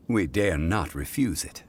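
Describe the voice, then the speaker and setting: A man voicing a calm, dignified, worldly gentleman in a video game, formal, composed and deliberate. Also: low-pitched, a little hiss or hum. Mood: amused. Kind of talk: video game character acting. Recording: music behind the voice.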